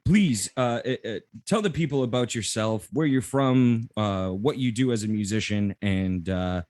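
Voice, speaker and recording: A man's voice: fairly low pitch, moderate pace, darkish tone, no room sound. A man hosting a podcast, joking and rambling in a casual chat. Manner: joking, rambling, laughs often. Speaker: podcast host.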